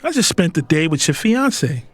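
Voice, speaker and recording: A man's voice: a little high, very animated, moderate pace, a bit bright, husky, no room sound, exaggerated voice. An actor playing a guarded, commanding businessman with a clipped, businesslike manner in an audio drama. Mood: cold.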